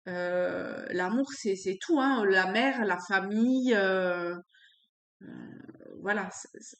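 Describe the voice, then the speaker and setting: A woman with a cold doing an unscripted tarot reading, pausing often and searching for words. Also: mid-range voice, roomy sound. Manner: halting, searching for words with many fillers. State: has a cold. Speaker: tarot card reader.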